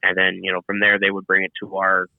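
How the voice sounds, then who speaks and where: A man as a podcast guest, chatting casually in a relaxed, rambling way with many fillers. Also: low-pitched, fast talker, creaky, no echo, narrow, tinny audio. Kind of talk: casual chat.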